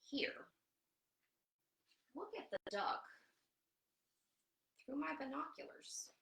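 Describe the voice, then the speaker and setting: A woman voicing an excitable, insistent children's storybook character in an emphatic, sing-song way. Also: high voice, takes it slowly, creaky, roomy sound, whispered, pitched above the performer's own voice, full character voice. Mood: eager. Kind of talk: children's picture book read-aloud.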